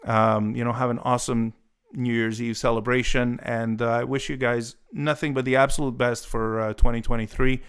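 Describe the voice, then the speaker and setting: Rambling Italian-born man, smooth voice. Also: mid-range voice, little pitch movement, normal speed. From Italy. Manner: rambling, with many fillers and restarts. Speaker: guitar channel host. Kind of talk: casual live stream chat.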